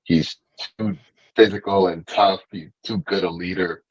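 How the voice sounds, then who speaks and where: Deep man on dull lo-fi audio. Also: steady tone, a little slow, slightly rough, dry recording. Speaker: podcast panelist. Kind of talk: casual podcast discussion.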